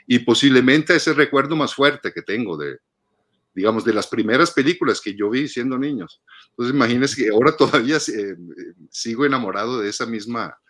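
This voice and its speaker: A man's voice: medium pitch, animated, a little fast, a bit bright. A man in his fifties from northern Italy, speaking reflectively in a casual interview and often repeating words as he thinks. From Padua region, northern Italy. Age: 50s. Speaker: guest, film critic and teacher.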